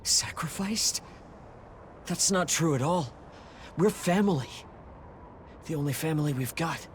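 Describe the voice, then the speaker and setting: A man's voice: a little high, unhurried, slight background noise, natural voice. A man voicing an earnest, protective teenage honor student in a video game, speaking with restraint. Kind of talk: anime-style video game voice acting. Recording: very loud music over voice. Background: game soundtrack music.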